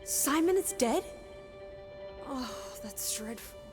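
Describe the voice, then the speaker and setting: A woman's voice: high voice, expressive, slow, big-room sound, music in the background. A woman playing a sociable, teasing young companion in a 1920s video game drama, full of playful old-fashioned slang. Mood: concerned.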